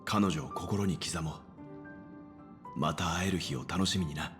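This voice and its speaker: A man voicing a composed, mature, quietly warm gentleman in an anime-style game. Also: low-pitched, a little fast, music in the background, close to an everyday voice. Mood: gently reflective. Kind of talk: Japanese anime game voice acting.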